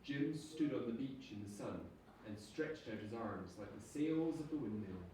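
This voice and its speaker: Expressive man reading aloud, very echoey. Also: medium pitch. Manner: dramatic, drawn-out storytelling delivery. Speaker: primary school teacher reading aloud. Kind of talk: expressive storybook reading to children. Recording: echoey room, distant mic, background noise.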